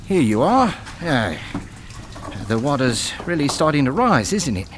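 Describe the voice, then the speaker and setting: A man's voice: fairly high pitch, sing-song, unhurried, slight background noise. A man playing a plain-spoken, uneasy labourer in a radio thriller, with a matey manner.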